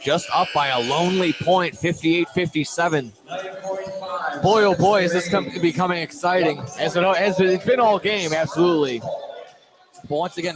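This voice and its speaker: A man doing excited, wordy live play-by-play of a high school basketball game with dramatic phrasing. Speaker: play-by-play commentator. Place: indoor school field house. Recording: noisy live gym broadcast. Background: loud crowd and gym noise.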